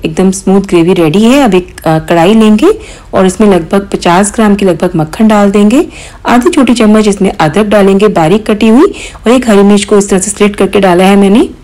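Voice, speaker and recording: A woman hosting a cooking channel, calmly explaining each step of a recipe in voice-over, with occasional small hesitations. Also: mid-range voice, poor audio. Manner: calm step-by-step instruction with mild hesitations.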